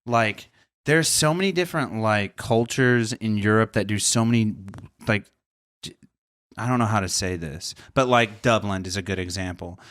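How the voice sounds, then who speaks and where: A man's voice: lowish voice, normal speed, fairly bright tone, dry recording, studio quality. A man hosting a podcast, chatting casually, swearing freely and rambling with frequent laughs. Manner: profane, laughs often, loose and rambling. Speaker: podcast host. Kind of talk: casual comedy chat.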